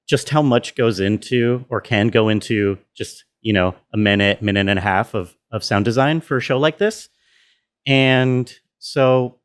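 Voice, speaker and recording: A man's voice: medium pitch, smooth. A man giving a casual behind-the-scenes walkthrough as a sound designer, informal and easygoing. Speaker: sound designer of an audio drama. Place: indoor room with air conditioner running. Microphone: self-recording.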